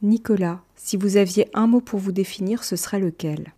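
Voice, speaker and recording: A woman hosts an interview, posing questions in a calm, measured way. Her voice has a middle pitch with a mellow, warm tone. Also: breathy edge. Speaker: podcast host.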